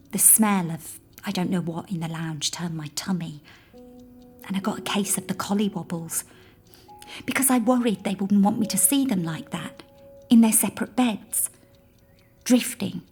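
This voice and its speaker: A woman playing a grieving, imaginative young girl who confides in her toy polar bear in an audio drama, hushed and childlike. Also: mid-range voice, normal speed, pauses often, faint music behind, natural voice. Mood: wistful, anxious. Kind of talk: intimate audio drama monologue.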